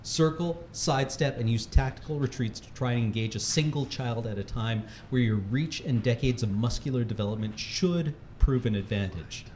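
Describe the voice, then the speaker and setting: A man reads a comic how-to piece aloud with mock-serious authority. His voice sits at an average male pitch. The room is echoey, with faint noise behind him. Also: normal speed, few pauses, darkish tone. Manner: mock-serious instructional delivery; often breaking into laughter. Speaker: reader at a charity livestream. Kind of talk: comedic reading of a humor article aloud.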